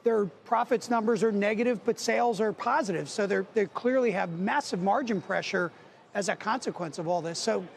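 A middle-aged man speaking as a market strategist on a TV news set, arguing points quickly with many figures. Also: high voice, creaky, a little hiss or hum. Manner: dense with figures, argumentative. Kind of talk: financial news analysis. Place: TV studio on a trading floor.

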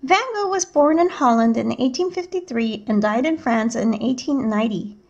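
A woman reading a picture book aloud as a teacher, in measured, clearly enunciated storytelling narration. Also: on the high side, highs cut off.

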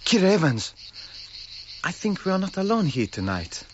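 A man's voice: sits high, sing-song, normal speed. An actor playing a drunk, superstitious Cretan foreman, brooding and ominous in a radio drama. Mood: haunted, ominous. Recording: dull, noisy radio drama recording.

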